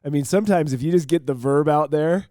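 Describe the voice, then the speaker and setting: A man co-hosting a podcast speaks in a mellow, encouraging way, often checking in with a quick question. He sounds dry and close to the mic. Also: on the high side, no room sound. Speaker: podcast co-host, former mission president. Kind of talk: conversational interview.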